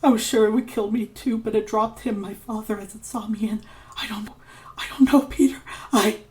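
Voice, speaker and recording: A woman's voice: fairly high pitch, steady tone, darkish tone, voice pushed up, natural voice. A terrified young man played by a woman in a dramatic story, stammering and pleading. The sentences break off and circle back as the horror is recounted. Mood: frantic, terrified. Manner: halting, repetitive, breaking off mid-sentence. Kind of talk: dramatic fan fiction reading.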